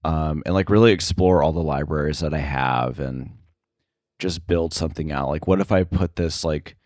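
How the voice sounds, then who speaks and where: A man speaking as an interview guest in casual chat, halting and informal, thinking his way through each phrase. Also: rumbling low, darkish tone, no echo, studio quality. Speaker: interview guest, sound editor.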